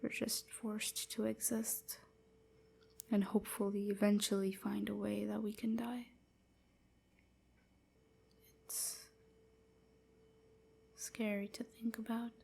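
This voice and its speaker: A woman playing a gentle, wounded vampire slave in an intimate ASMR roleplay, whispering in a breathy, halting, submissive way. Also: mid-range voice, little pitch movement, a little hiss or hum. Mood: sad, resigned. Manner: slow, halting, hushed confiding.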